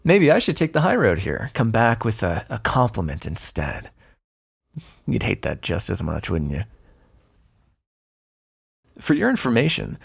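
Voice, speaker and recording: A man playing a smug, predatory vampire in an ASMR roleplay, taunting and flirtatious, with a gravelly, breathy voice. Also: mid-range voice, full character voice. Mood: mocking banter. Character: predatory, teasing male vampire, smug and seductive.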